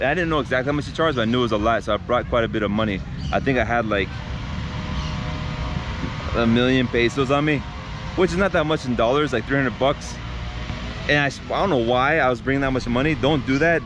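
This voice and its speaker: A man's voice: medium pitch, fast. A man vlogging outdoors by a city street, telling a story to camera in a loose, conversational way, full of restarts and fillers and often calling his viewers guys. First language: not Spanish. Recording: outdoor street traffic noise.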